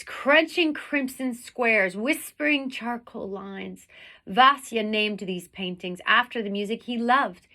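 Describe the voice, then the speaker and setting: A woman reading a picture book aloud like a teacher, with deliberate, dramatic phrasing that leans on the vivid words. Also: fairly high pitch, bright, forceful tone. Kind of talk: expressive storybook read-aloud.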